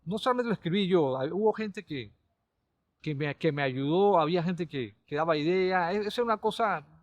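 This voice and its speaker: Panamanian guy in his middle years, kinda high voice, rambly storytelling, restarts a lot. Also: normal speed, dry recording. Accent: Panamanian Spanish. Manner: rambling, many restarts and repeated words. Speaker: caricaturist and comedy writer, guest. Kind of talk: relaxed conversational storytelling.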